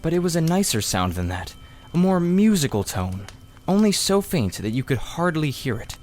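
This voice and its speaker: A man reading a children's story aloud as expressive scripted narration. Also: on the high side, moderate pace. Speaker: audiobook reader.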